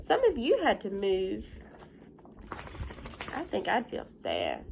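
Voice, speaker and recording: A woman teacher reading a picture book aloud to young children, with simple, encouraging asides and stressed, drawn-out storytelling phrases. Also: medium pitch, huge pitch swings, vocal fry, background noise.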